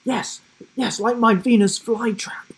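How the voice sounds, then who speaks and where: Man playing a high-voiced urgent young boy hero. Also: fairly even intonation, slow, creaky, a bit bright, breathy edge, full character voice. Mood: eager, urgent.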